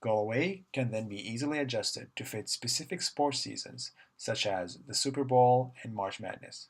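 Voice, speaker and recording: A young man in a student pitch video, reading a scripted explanation in a steady voice. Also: normal speed, husky. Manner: steady, read-aloud delivery.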